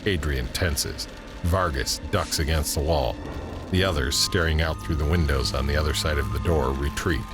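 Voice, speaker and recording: A man narrating a scripted audio drama in a measured, descriptive way. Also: extremely low pitch, over music. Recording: background ambience and noise.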